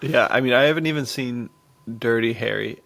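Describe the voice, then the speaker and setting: A man's voice: mid-range voice, fairly quick, creaky, a bit bright. A man chatting casually as a podcast co-host in a laid-back, slangy way. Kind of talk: casual chat about western films.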